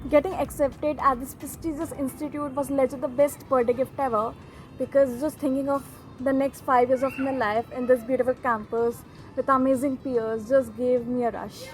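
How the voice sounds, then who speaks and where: High-voiced gushing young woman. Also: flat delivery, normal speed, soft background music, low-quality recording. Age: young adult. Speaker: student. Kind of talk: casual to-camera chat.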